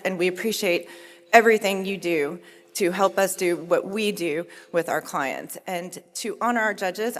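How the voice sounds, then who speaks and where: A woman's voice: mid-range voice, fairly bright tone. A woman who co-chairs the meeting opens it as emcee with an upbeat, gracious welcome speech. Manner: upbeat and gracious, with repeated thank-yous. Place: large banquet hall. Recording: long reverb of a large hall.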